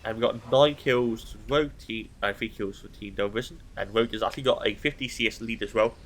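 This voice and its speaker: A man's voice: lowish voice, fast talker, fairly bright tone, slight background noise. A man with a blocked nose from hay fever, giving casual, analytical solo commentary on a recorded esports match. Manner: casual, analytical, thinking aloud.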